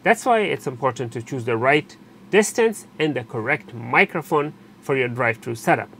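Male tutorial narrator, average pitch, halting explanatory delivery, recorded with light background noise. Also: creaky. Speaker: technician giving a tutorial. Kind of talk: unscripted step-by-step instructional narration. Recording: steady background noise, room ambience.

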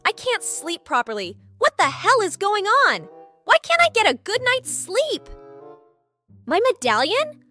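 A performer voicing a childlike cartoon cat in a comic dub, squeaky, anxious, grumbling and snarky, with music behind. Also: wildly swooping pitch, unhurried, pushed and bright, full character voice. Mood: alarmed. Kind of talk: comic dub character acting.

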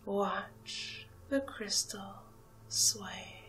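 A woman performing a whispered ASMR hypnosis roleplay as a haughty, mesmerizing alien cow, breathy and drawn-out with long pauses. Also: mid-range voice, sharp tone, faint music behind. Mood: hypnotic, soothing.

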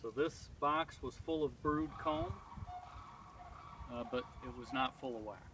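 A man in his thirties explaining step by step as he works outdoors in spring woods, talking in a plain, methodical way with frequent pauses. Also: medium pitch, background noise. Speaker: beekeeper vlogger. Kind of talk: casual demonstration. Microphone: far camera on a tripod. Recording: distant outdoor mic with clear background ambience.

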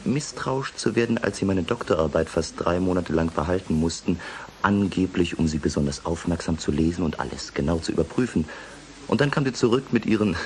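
An actor playing an embittered young doctoral student in a German radio play, sarcastic and taunting as he turns to sly blackmail. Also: sits low. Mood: bitter, accusing.

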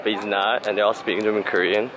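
A man's voice: fairly low pitch, flat delivery, racing. A man in his twenties chatting casually and playfully as he films a walking vlog outdoors. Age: 20s. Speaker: vlog host. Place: outdoors on busy city streets. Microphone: handheld camera at arm's length. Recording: loud city street noise.

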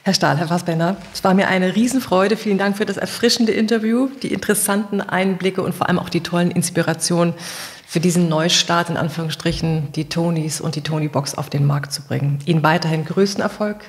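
A woman's voice: lowish voice, brisk. A woman hosting a podcast interview, putting questions and summing up to her guests.

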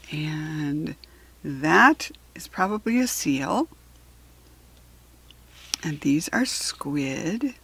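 Slow, creaky-voiced American woman reading to children. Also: lowish voice. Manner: deliberate, instructive, simply explaining to a young listener. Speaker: children's book reader and host. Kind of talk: read-aloud for children with chatty asides.

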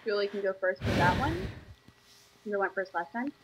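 A woman's voice: medium pitch, animated, normal speed, background noise. A woman playing a tabletop role-play, talking in blunt, casual banter. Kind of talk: casual banter during a game.

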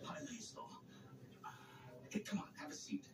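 Actor as slow, coaxing middle-aged ex-boyfriend. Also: male voice, lightly acted. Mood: genial, coaxing. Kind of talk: soap opera dialogue. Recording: TV played into distant mic, echoey, music.

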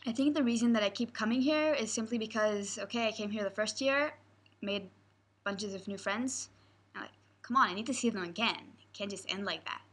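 A woman's voice: medium pitch, fairly quick, a bit bright, slightly rough. A teenage girl, a youth leader at a camp, answering interview questions candidly and a bit playfully. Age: teens. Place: indoor room with posters.

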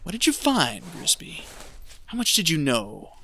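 An actor playing a greedy, callous treasure hunter in an audio drama, talking in a scheming, folksy, persuasive way. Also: male voice, fairly high pitch, wildly swooping pitch, measured pace, sharp tone, a little hiss or hum, full character voice. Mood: impatient, insistent.

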